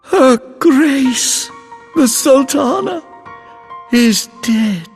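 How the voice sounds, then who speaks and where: A woman playing a distraught lady of a royal court in a fantasy game, halting and theatrical. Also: sits high, animated, creaky, heavily acted. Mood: shocked, accusing. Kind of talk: dramatic video game cutscene acting. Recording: long reverb, music underneath.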